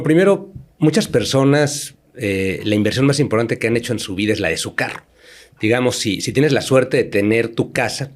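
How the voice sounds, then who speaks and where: A man from Mexico, a company manager interviewed as a podcast guest, explaining at length with frequent fillers and repeated words. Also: on the low side.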